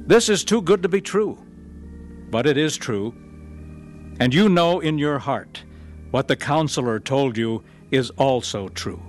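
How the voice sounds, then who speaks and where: Man, radio drama announcer, measured earnest delivery, mid-pitched voice, faint music underneath. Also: expressive. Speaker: announcer and narrator. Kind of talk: scripted radio drama narration.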